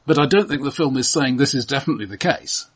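A man on a film podcast, chatting casually but analytically. His voice is husky and mid-pitched. He often stops and repeats a word before finishing his point. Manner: analytical, halting with repeated words.

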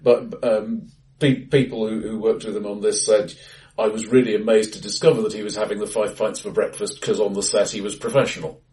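Low-voiced man who stammers at starts. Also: level tone, brisk. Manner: stammering starts, repeats words. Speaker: podcast co-host. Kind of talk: casual film discussion. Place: acoustically bright room. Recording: distant mic in a bright, live room.

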